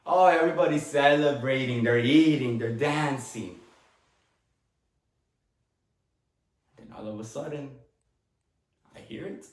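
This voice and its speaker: A man performing a dramatic monologue as a talkative, tender immigrant father with a Latin American Spanish accent, rambling haltingly with small chuckles. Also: medium pitch, natural voice. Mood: insistent, tender. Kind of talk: dramatic monologue, stage acting. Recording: echoey room.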